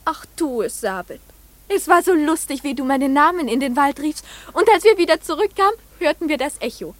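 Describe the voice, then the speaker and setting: A little girl in a radio play, high n wistful, coaxing, very dry recording. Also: expressive, fairly quick, dry recording.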